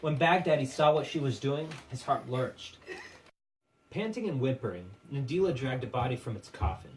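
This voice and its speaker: A man's voice: medium pitch, animated, normal speed. Male storyteller, recorded from a distance. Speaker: puppet show narrator. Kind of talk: dramatic storytelling, scripted narration. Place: live puppet show performance, room. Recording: distant microphone with faint room noise.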